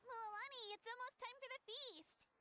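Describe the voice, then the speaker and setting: A woman's voice: very high-pitched, steady tone, moderate pace, pushed and bright. A woman voicing a shy, sweet young girl in a video game, polite and a little hesitant. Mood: polite, flustered.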